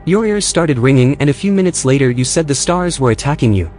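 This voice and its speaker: An actor playing a literal-minded, slightly peevish station technician in a science-fiction audio drama. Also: male voice, medium pitch, lively intonation, fairly quick, darkish tone, close to an everyday voice. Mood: matter-of-fact. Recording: music bed behind the voice.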